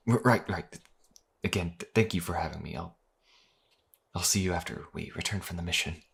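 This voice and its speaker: A man playing a young priest in a fantasy audio drama, nervous, modest and earnest, speaking hesitantly with a husky, gravelly voice. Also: low-pitched, moderate pace, fairly bright tone, exaggerated voice. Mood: respectful. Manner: hesitant, stammering.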